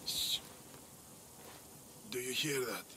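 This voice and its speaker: An actor playing a brooding male arena handler in a video game, speaking in a hushed, wistful way. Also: medium pitch, slow pace, background noise, lightly acted.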